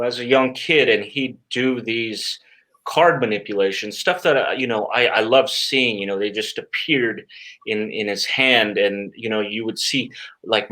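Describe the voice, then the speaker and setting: A middle-aged man speaking conversationally as an interview guest, in a halting way with repeated words and frequent filler phrases. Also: mid-range voice, fairly bright tone. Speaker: interview guest, stage magician.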